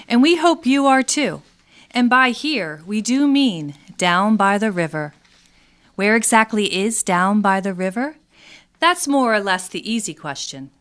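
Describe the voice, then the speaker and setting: A woman's voice: mid-range voice, very animated, a little slow, a bit bright. A woman reading scripted narration as a presenter for a radio theatre troupe.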